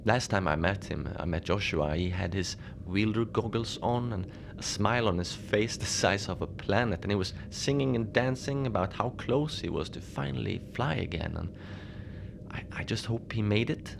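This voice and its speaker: A man playing a lonely, unbothered polar station keeper, telling strange tales in a halting, understated, conversational way for a fiction podcast. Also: fairly low pitch, gravelly. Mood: worried, fond. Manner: halting, conversational, understated storytelling with small hesitations. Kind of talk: cozy horror audio fiction monologue. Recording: steady noise behind the voice.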